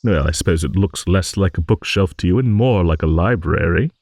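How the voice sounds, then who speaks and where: A man playing a gentle, courteous old dragon who rambles hesitantly in an improvised fantasy audio roleplay. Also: low voice, dry recording. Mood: bemused, modest. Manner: rambling, hesitant, genteel, with musing hums and pauses.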